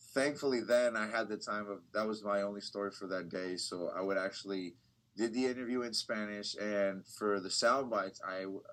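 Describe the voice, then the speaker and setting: Low-voiced young man, easygoing. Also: poor audio. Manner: relaxed, rambling, many fillers and restarts. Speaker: TV news reporter, guest. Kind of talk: casual interview conversation.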